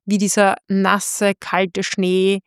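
A woman's voice: medium pitch, monotone, slow pace, smooth, no echo, studio quality. A woman talking casually about literature as a podcast host.

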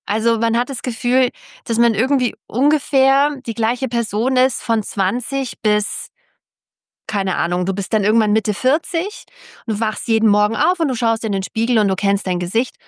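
A woman's voice: on the high side, moderate pace, dry recording, clean studio sound. A middle-aged woman speaking candidly and emphatically as an author being interviewed on a podcast, often repeating words for emphasis.

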